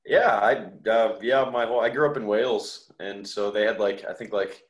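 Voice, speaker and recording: A man's voice: fairly low pitch, fairly even intonation, moderate pace. A man chatting casually as an interview guest, laid-back and self-effacing. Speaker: podcast guest, musician. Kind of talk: casual chat. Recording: echoey room sound.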